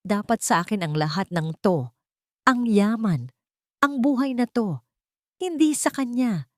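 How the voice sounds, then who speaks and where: A woman voicing a spiteful, envious and scheming aunt in an audio story, haughty and accusing, with a shrill edge. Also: expressive, unhurried, no room sound, studio quality. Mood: spiteful fury.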